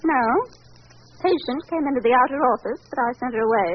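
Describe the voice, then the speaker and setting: An actress playing a doctor's secretary in a 1940s radio drama, brisk, haughty, suspicious and sharp-tongued. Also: female voice, sits high, a little hiss or hum, natural voice. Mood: guarded. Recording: dull old radio recording.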